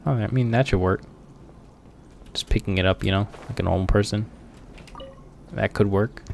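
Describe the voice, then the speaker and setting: A man's voice: on the low side, moderate pace, creaky, a little hiss or hum. A young man giving casual, joking, slangy commentary as he streams a video game.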